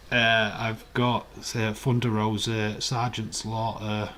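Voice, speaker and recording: A man with a croaky, hoarse voice, hosting a casual live stream, chatting in a rambling way and reading out viewer comments. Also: on the low side, little pitch movement, takes it slowly, a bit bright, slight background noise.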